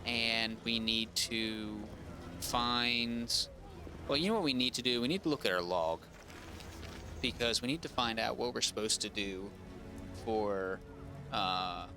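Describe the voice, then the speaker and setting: Let's-play host: man, mid-pitched, slightly raspy, rambling casual delivery, music under him. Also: a little slow, long pauses. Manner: rambling, full of ums and restarts, reads quest log aloud. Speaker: gaming streamer.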